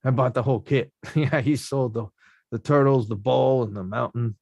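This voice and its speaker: A man reading the part of a rough-talking young male boxer at a script table read, slangy and self-mocking. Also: mid-range voice, normal speed, darkish tone, no echo, lightly acted.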